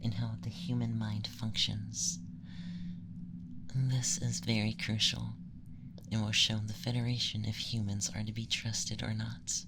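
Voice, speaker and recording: A man voicing a calm, clinical, effeminate young alien in a soft, soothing, partly whispered ASMR roleplay. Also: fairly low pitch, a little slow, vocal fry, background noise. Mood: gently reassuring.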